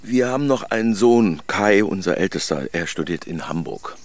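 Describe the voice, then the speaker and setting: An actor playing a polite, slightly awkward middle-aged German engineer in a radio play, with reserved, courteous replies. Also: male voice, deep voice, lively intonation, normal speed, noisy background.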